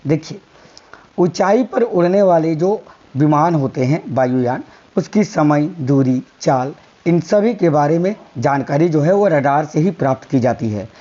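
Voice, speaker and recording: A hoarse man teaching a quiz-style science lesson for exam prep, repeating each answer and telling viewers to remember it. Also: a little high, lively intonation, measured pace, mellow, dull audio. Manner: repeats answers, coaxing "याद रखिएगा".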